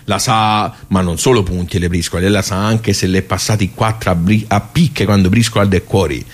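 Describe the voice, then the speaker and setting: A garrulous Tuscan man, a regular at the local social club, in a theatrical radio monologue. His voice sits low, and he rambles in homely colloquial speech, wry and rueful, putting questions and answering them himself. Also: steady tone, brisk. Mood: boastful, amused. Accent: Tuscan Italian.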